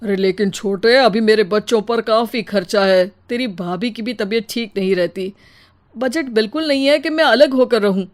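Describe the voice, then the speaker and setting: A woman's voice: a little high, moderate pace, close to an everyday voice. A woman voicing a caring, responsible elder brother in a moral story, earnest and reasoning.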